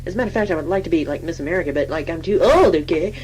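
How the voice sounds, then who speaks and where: A woman's voice: lowish voice, expressive, racing, a little mellow, close to an everyday voice. A woman playing a chatty, self-deprecating young station typist, improvising a rambling, giggly self-introduction in colloquial speech. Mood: chatty, excited. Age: young adult. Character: chatty, self-deprecating twenty-six-year-old female station typist. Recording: faint hum and noise.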